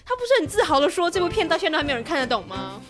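High, animated Taiwanese woman bantering. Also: music in the background. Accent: Taiwanese Mandarin. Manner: playful, exclamatory, quick to laugh. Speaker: podcast co-host. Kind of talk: casual banter.

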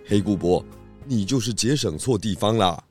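An actor playing a jolly, food-loving pig detective in a children's radio drama, with a gruff, playful voice. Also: male voice, on the low side, slow, darkish tone, soft background music. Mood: teasing.

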